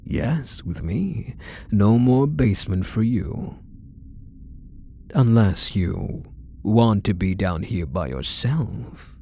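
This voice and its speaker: A man's voice: on the low side, lively intonation, takes it slowly, gravelly, dark tone, a little hiss or hum, exaggerated voice. A man playing a possessive, doting kidnapper husband in an intimate ASMR roleplay, cooing and coaxing with pet names. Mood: calm, controlling. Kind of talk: ASMR roleplay audio drama, intimate monologue to the listener.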